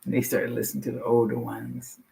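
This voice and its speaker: A woman in her sixties with a deep, low voice, giving a rambling, frank personal share at a support meeting and swearing casually. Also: monotone, a little fast, vocal fry, dark tone, breathy edge, low-quality recording. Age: 60s. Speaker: meeting participant sharing.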